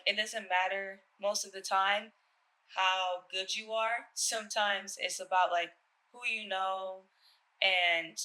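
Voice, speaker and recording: Young woman model from jamaica, bright voice, easygoing, lots of ums, faint music behind. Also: medium pitch, low-quality recording. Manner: relaxed, with fillers and soft laughs. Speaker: fashion model. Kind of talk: interview answers.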